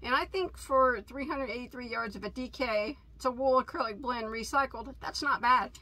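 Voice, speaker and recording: Woman, thirties, from Illinois, chatty yarn haul host, slightly high pitch, craft room. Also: normal speed, pushed and bright, poor audio. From Illinois, USA. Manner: chatty, enthusiastic, laughs at herself. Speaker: crochet channel host. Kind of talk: casual yarn haul chat.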